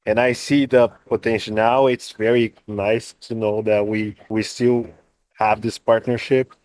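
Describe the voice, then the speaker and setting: A man who buys coffee from farmers, answering interview questions in a plain, steady way. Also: fairly low pitch, unhurried.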